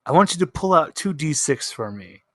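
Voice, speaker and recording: A man's voice: a little high, big pitch swings, moderate pace, fairly bright tone, dry recording, clean studio sound. A man narrating as game master in a tabletop roleplaying game, improvising with emphatic repeats.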